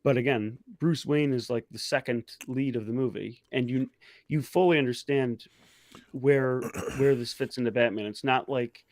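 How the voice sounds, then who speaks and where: A man speaks as a co-host in a casual discussion. His pitch is average for a man, and he offers his counterpoints in a measured, conversational way. There is little room sound on the voice. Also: steady tone, no echo. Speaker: co-host of a pop culture discussion show.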